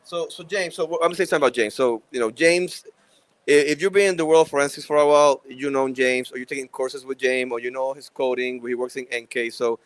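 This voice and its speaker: A man with a Puerto Rican accent co-hosting a podcast at a live event, playful and quick to joke and laugh. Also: medium pitch. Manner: playful, joking, laughs often. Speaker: podcast co-host. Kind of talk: casual chat at a live event.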